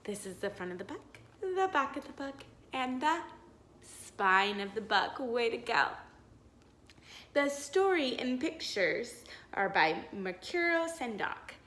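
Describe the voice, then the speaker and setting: Woman, high-pitched, bright, unhurried, expressive children's story-time teacher, encouraging, full of wonder. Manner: encouraging, expressive, exaggerated wonder, asks the listener questions. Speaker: teacher reading a picture book aloud to young children. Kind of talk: animated children's read-aloud with simple explanations and questions.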